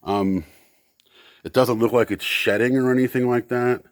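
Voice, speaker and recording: A man giving a casual, unscripted equipment demonstration as a seller, speaking in a halting way as he thinks aloud. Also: mid-range voice, fairly even intonation, dry recording, clean studio sound.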